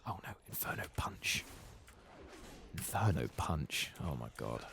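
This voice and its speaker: A man giving soft-spoken, sometimes whispered ASMR game commentary, muttering casually and swearing to himself. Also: rumbling low, big pitch swings, measured pace, creaky. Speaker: ASMR gaming streamer.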